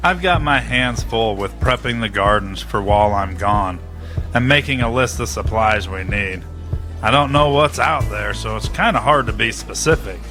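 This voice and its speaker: Easygoing practical gardener, bright tone, audio drama, faint music. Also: male voice, on the low side, normal speed.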